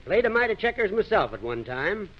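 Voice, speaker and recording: A man's voice: high-pitched, very animated, a little fast. An actor playing a folksy, boastful small-town man in a 1930s radio drama, on a muffled old recording. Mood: folksy boasting.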